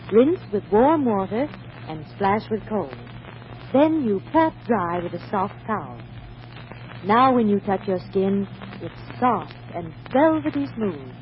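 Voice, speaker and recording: A woman's voice: mid-range voice, big pitch swings, slow pace, a little hiss or hum. Brief: polished female ad voice, instructive, wide intonation, muffled vintage recording. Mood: soothing. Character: smooth, helpful female beauty-soap demonstrator. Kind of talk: 1940s radio advertisement.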